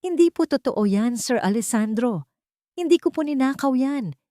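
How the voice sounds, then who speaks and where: A woman's voice: high voice, lively intonation, normal speed, dark tone, no room sound, studio quality, pitched above the performer's own voice. A woman voicing a wronged nanny in an audiobook drama, pleading desperately yet respectfully. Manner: pleading, deferential.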